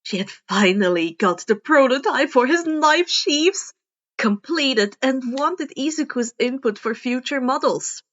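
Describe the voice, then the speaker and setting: A woman narrates a scripted story. Her voice sits very high for a woman. The recording is a touch dull and lo-fi. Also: lively intonation, measured pace. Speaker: podfic narrator.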